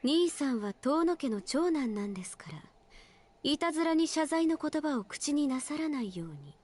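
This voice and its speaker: Refined young lady, high voice, very polite formal speech, Japanese visual novel game acting. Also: very animated, fast talker, a little mellow. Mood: cold reproach.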